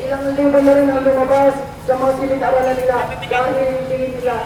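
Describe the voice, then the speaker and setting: A woman's voice: sits high, completely flat delivery, fast. A young woman with a Filipino accent giving an emphatic, impassioned protest speech as a student speaker, appealing directly to her listeners. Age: young adult. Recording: echoey outdoor rally, distant, crowd noise, music.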